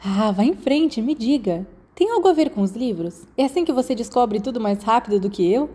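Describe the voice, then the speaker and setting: Woman doing a curious excitable teen girl, brazilian, audiobook dialogue, mellow sound. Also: on the high side, fairly quick, slightly rough. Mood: curious, teasing. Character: curious, excitable, bright teenage schoolgirl.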